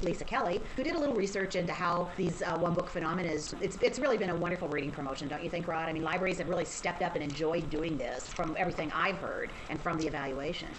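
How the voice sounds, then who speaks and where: Woman hosting a webinar, kinda low voice, chatty, noisy background. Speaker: webinar moderator.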